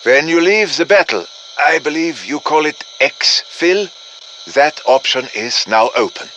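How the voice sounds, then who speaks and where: An actor playing an urgent, commanding professor who briefs soldiers in a deliberate, dramatic manner, as video game voice lines. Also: male voice, a little high, lively intonation, pushed and bright, a little hiss or hum, low-quality recording. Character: urgent, commanding male professor guiding soldiers against demons. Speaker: game character voice, mission guide.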